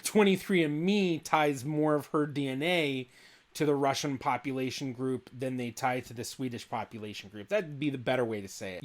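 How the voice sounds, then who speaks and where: A young adult man, a genealogist, explaining test results in a relaxed, thinking-aloud commentary. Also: mid-range voice. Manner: thinks aloud, hedging with "I feel like". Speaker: genealogist reacting to a video.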